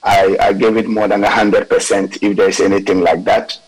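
Hesitant man with a husky voice. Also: lowish voice, level tone, rough recording. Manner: measured, hesitant with fillers. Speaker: politician guest.

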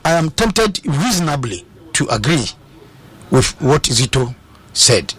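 A man's voice: a little high, sing-song, a little slow, fairly bright tone, slightly rough. A man with a Ghanaian accent giving football analysis on a sports talk show, hesitant, with many fillers and restarts, switching into Twi. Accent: Ghanaian English.

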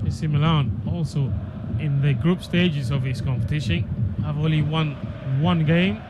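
A man's voice: a little high, normal speed. A man giving live football commentary on the radio. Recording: clear background noise, stadium ambience.

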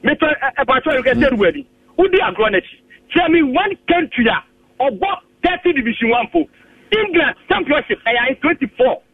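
A Ghanaian caller argues his case on a radio phone-in, repeating himself and stumbling through the point. His voice is very high and lively, squeezed through a dry, tinny phone line from some distance. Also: no echo. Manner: argumentative, halting, repeats himself, mixes Twi and English. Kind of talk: heated opinion on a radio call-in.

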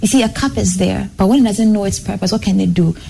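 A young woman speaks earnestly as a talk show guest, animated and quick to pile one phrase on another. Her voice is mellow and dark, with a faint hiss underneath. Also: mid-range voice, creaky. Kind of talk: personal storytelling in a talk show.

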